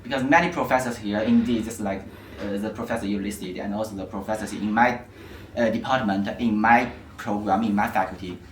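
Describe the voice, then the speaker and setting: Low-voiced rambling man, far off. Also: fairly even intonation, a little fast, a little hiss or hum. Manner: rambling, filler-heavy. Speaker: student. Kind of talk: casual discussion. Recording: echoey room, mic far off.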